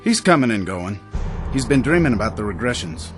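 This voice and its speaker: Gruff henchman, lowish voice. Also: big pitch swings, brisk, music in the background. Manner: blunt, working-class speech. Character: gruff male henchman guarding a captive.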